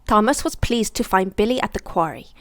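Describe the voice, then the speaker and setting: A woman reading a children's bedtime story aloud in an expressive storytelling style. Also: mid-range voice, a little fast, no room sound.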